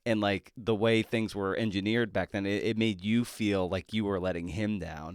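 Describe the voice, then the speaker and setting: A man hosting a conversational interview, setting up long questions in halting, reflective phrases. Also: lowish voice, fairly even intonation, clear, resonant tone, no echo, studio quality. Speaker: podcast host interviewing an author.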